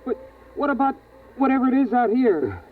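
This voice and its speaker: A man's voice: extremely high pitch, big pitch swings, moderate pace, exaggerated voice. A young male actor playing an earnest, wondering boy in a 1950s radio drama, with plain, homespun phrasing. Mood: worried. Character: earnest, wondering young boy narrating a bleak frozen world. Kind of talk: 1950s radio drama acting and first-person narration. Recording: tinny vintage radio recording with music.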